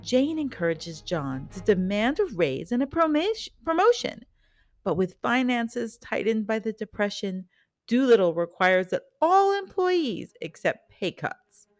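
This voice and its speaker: A woman's voice: fairly high pitch, very animated, unhurried, no room sound. A woman reading scripted documentary narration as the host of the programme. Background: soft background music.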